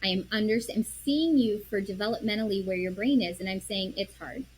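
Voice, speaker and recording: Earnest woman explaining parenting calmly. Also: medium pitch, brisk, a little hiss or hum, low-quality recording. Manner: earnest, explains with examples and quoted self-talk; drops to a soft, quiet voice when modeling calm. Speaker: parenting guest. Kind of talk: conversational interview.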